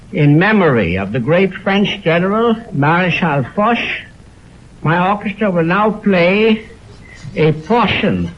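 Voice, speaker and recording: A man giving a formal, solemn 1920s radio address as an orchestra's host, with deliberate phrasing, on an old broadcast recording. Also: high voice, breathy edge. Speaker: orchestra conductor hosting a radio concert. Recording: dull 1929 radio aircheck on optical film.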